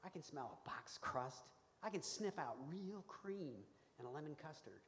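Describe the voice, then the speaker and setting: A man's voice: fairly high pitch, lively intonation, normal speed, fairly bright tone. A man playing a cocky, dim, laid-back braggart of a pie taster in a live radio comedy. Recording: noisy, reverberant hall stage recording.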